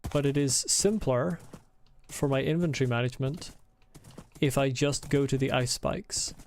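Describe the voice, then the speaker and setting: A man with a light Scottish accent streams a game, chatting and rambling in a gravelly, fry-edged voice. His pitch sits in an ordinary middle range. He slips into blunt, sweary rants. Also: normal speed, darkish tone, slight background noise. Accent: mild Scottish. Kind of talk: casual live-stream chat while gaming.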